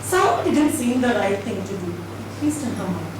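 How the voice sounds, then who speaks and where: A woman giving an expressive, dramatised reading of a story, with theatrical pauses. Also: medium pitch. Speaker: reader at a dramatised book reading. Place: indoor event hall at a live reading. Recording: distant mic, echoey hall, noisy.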